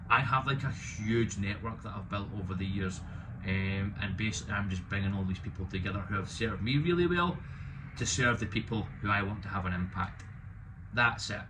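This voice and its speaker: Thirties male vlogger, fairly low voice, outspoken and self-deprecating, slight room noise. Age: 30s. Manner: loud, opinionated, self-deprecating. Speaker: business owner vlogging. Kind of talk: casual talking-to-camera vlog.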